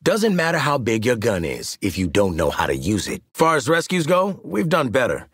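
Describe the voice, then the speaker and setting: Gravelly, forceful American mercenary sharpshooter. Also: male voice, medium pitch, wildly swooping pitch, moderate pace, no echo, studio quality, full character voice. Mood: dry, cocky. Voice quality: raspy, gravelly. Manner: cocky, streetwise, punchy delivery. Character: cocky adult male mercenary sharpshooter, gruff and wisecracking. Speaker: video game voice actor. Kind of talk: acted combat game voice lines.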